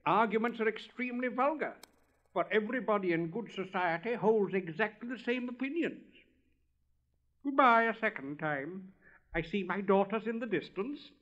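A man puts on a smug little frog who chatters on and on, pleased with himself and laughing at his own remarks. The voice sits high and swoops around lively, heard through a dull, lo-fi recording. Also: normal speed. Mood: smug, chatty. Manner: gushing, self-satisfied chatter with giggles. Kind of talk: storybook reading.

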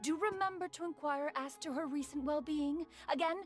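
A woman's voice: sits high, normal speed, faint music behind, close to an everyday voice. A woman voicing a proud, aloof immortal mentor in an anime game, stately and archaically formal. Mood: aloof, instructing. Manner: stately, haughty, calls herself "one". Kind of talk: anime video game acting.

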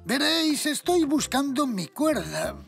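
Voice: high voice, big pitch swings, a little slow, fairly bright tone, heavily acted. An actor voicing a mild, forgetful old grandparent zebra in a children's cartoon, speaking in a puzzled manner. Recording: music clearly behind the voice.

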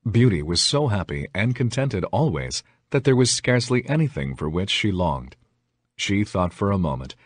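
A man's voice: lowish voice, sing-song, moderate pace, dry recording. A man reading a fairy tale as scripted narration, in the role of a storyteller.

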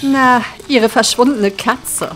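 A woman's voice: on the high side, slow, a bit bright, rough recording. A woman playing a dry-humored, teasing small-town deputy with an ironic manner in an audio drama. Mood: amused, teasing.

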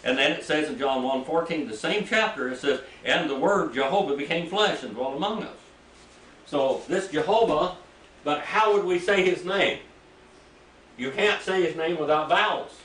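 A man's voice: fairly high pitch, moderate pace, fairly bright tone, a little hiss or hum. An older man teaching a Bible class in a small church room, quizzing his listeners and reading scripture aloud. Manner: folksy, asks the class questions, reads scripture aloud. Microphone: room mic from a distance. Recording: echoey room, heard from a distance.

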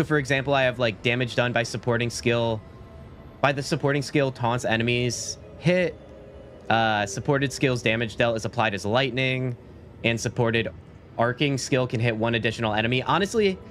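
A man in his thirties streaming a game from a small home room on a desk mic, chatting casually and rambling, his voice a little deeper from being sick. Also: moderate pace, fairly bright tone. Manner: laid-back, rambling, says "like" and "dude" a lot. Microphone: studio mic at desk, webcam framing. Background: game music.

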